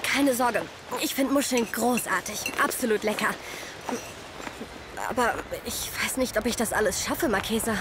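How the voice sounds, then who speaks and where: An actress playing a polite young holiday guest in a radio play, breezy and slightly flustered. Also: female voice, a little high, normal speed, background noise, lightly acted. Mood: delighted, friendly. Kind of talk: German radio play acting. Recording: radio play with outdoor ambience.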